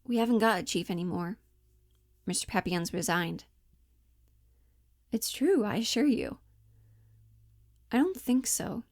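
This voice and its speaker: A woman's voice: mid-range voice, animated, unhurried, long pauses, a little mellow, dry recording, clean studio sound. A woman playing an earnest, mildly condescending male office worker, hesitant and placating, in a cold-read stage play. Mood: matter-of-fact.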